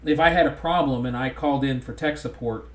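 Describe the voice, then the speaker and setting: A man's voice: medium pitch, a little fast. Rambling Southern man, echoey room. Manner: rambling, many restarts. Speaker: podcast co-host. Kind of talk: casual chat. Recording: roomy echo.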